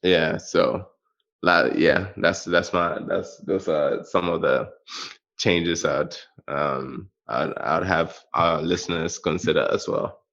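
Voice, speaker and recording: Man, deep animated voice, teasing podcast co-host, muffled lo-fi sound. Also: slow pace, creaky. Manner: teasing, laughs often. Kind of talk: casual banter.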